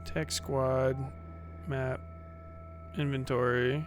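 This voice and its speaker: A man's voice: mid-range voice, flat delivery, extremely slow, soft background music. A man streaming a game, muttering casual commentary, swearing and reading menus aloud.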